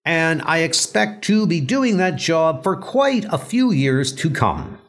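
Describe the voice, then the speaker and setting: A man playing an emphatic male columnist who makes firm, drawn-out public statements in an audio comic book. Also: on the high side, sing-song, voice pushed up, full character voice. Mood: firm, cheerful. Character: emphatic male columnist firmly declining candidacy.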